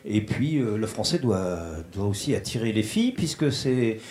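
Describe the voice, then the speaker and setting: A man's voice: on the low side, animated, moderate pace, a little mellow. A man interviewing a musician, asking playful, teasing questions. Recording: roomy echo.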